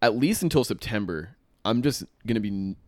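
A man in his mid-twenties talks loosely on a podcast, swearing freely and repeating phrases as he goes. His voice sits on the low side. The sound is dry and close, with no room around it. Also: lively intonation, a little fast, dry recording. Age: 20s. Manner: laid-back, swears a lot, repeats himself. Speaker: podcast host. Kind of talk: casual chat.

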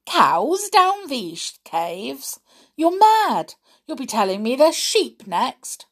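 A woman's voice: lowish voice, big pitch swings, slow, mellow, husky, heavily acted. A woman voicing a bossy, scornful working-class wife in a storybook, scolding and dismissive.

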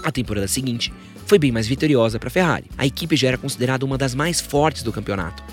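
Fast-talking São podcast host. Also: male voice, mid-range voice, animated, soft background music. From São Paulo, Brazil. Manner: joking asides, informal. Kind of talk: scripted biographical podcast narration. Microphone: studio podcast microphone.

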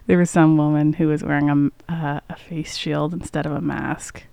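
A woman co-hosting a homemade podcast tells little everyday stories. Her voice has a creaky fry and is caught close on a good mic with a dry sound. She chats loosely, with fillers and her own thoughts acted out in quotes. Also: low voice, flat delivery, normal speed, dark tone, no room sound. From Canada. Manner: chatty storyteller, fillers and quoted inner thoughts. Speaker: podcast co-host. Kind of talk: casual chat. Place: basement apartment. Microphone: studio microphone close up.

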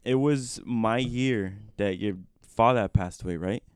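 Laid-back young man, low smooth voice. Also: a little slow, no room sound, clean studio sound. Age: 20s. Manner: laid-back, slangy, polite when asking questions. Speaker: former student. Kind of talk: casual conversation.

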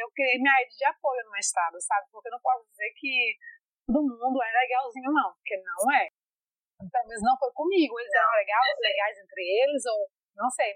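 A chatty woman, telling her story in a relaxed interview. Her voice sits fairly high, and she keeps it lively with fillers and restarts. The recording is dry, with almost no room sound. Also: no echo, rough recording. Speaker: interviewee, foreign master's student in France. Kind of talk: casual conversational interview.

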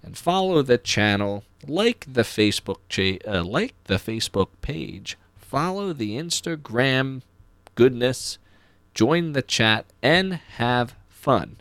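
A man presents as a podcast host with very lively, swooping intonation and a mid-range voice. He sounds chatty and informal, slipping in playful asides. The sound is dry and close, with little room to it. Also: medium pitch, slow, dry recording.

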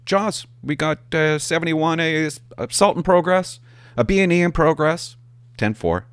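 Man doing a clipped female police dispatcher, higher pitched. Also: unhurried, clear, resonant tone, no echo, lightly acted. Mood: businesslike. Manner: clipped radio calls with hesitant uhs. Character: curt, put-upon female police dispatcher.

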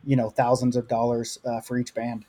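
A man from the US South speaks with a light Oklahoma accent, giving an interview on a podcast. He rambles earnestly, full of "like"s, in a mellow, dark tone. His pitch stays flat and even. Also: moderate pace. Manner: rambling, earnest, lots of likes. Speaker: podcast guest. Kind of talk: casual interview.

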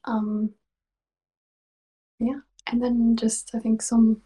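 A young woman from Sweden with a native Swedish ear and a shifting English-Australian accent talks in a mellow, husky tone. She laughs often and keeps slipping into soft whispers mid-sentence, as a guest in a casual interview. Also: on the high side, little pitch movement, drawn-out words, poor audio. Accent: mixed English and Australian. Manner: giggly, slips into soft whispers. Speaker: podcast guest, ASMR creator.

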